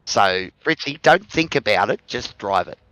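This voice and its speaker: Aussie bloke co-commentating, low smooth voice, laid back, dry mic. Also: male voice, normal speed, dry recording, poor audio. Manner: relaxed, friendly, coaching tone. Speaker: co-commentator and streamer. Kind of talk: casual commentary banter.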